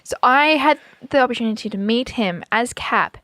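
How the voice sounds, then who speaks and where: A woman's voice: a little high, lively intonation, normal speed, pushed and bright, no room sound. A young woman co-hosting a podcast, telling a story in a casual way with hesitant fillers. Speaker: podcast co-host.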